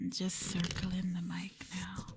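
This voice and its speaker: Soft breathy woman doing asmr chat, gentle n subdued, creaky, drifts into whispers, close mic w a bit of hiss. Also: lowish voice, monotone, slow pace. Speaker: streamer and host of a live ASMR stream. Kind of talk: ASMR soft talking, casual chat with viewers. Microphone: close ASMR microphone.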